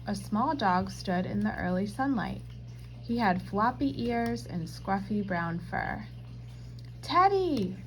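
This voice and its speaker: A woman reading a children's storybook aloud in scripted, expressive narration. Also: mid-range voice, unhurried, a little hiss or hum, poor audio.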